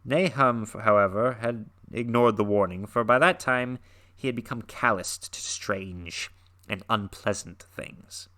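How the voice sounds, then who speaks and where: A young man giving a dramatic reading of a horror story, swinging from hushed whispers to intense raised lines, with casual, sarcastic asides in between. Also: lowish voice, unhurried. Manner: casual and filler-heavy when recapping, with sarcastic asides. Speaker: reader and reviewer.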